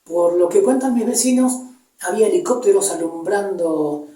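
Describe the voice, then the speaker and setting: An Argentine man in his fifties speaks in a dark, relaxed tone as he gives a personal testimony. He is reflective and halting, often searching for the next word. The microphone sits at some distance in a roomy, echoing space. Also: sits high. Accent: Argentine, Buenos Aires area. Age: 50s. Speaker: interviewee giving personal testimony. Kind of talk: personal storytelling, unscripted recollection. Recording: echoey room, distant microphone.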